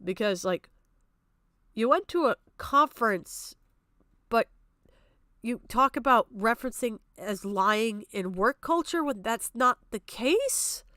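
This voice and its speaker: A woman's voice: on the high side, lively intonation, takes it slowly, sharp tone, no room sound, clean studio sound. A woman giving off-the-cuff, argumentative stream commentary in a blunt, exasperated, sweary manner. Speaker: streamer reacting to a video.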